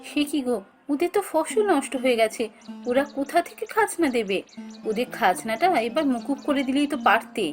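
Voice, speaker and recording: High-pitched gentle young wife played by a woman. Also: expressive, fairly quick, soft background music. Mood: concerned, questioning. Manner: plaintive, questioning. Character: gentle, compassionate young wife, landlord's daughter. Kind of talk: cartoon fairy tale dialogue.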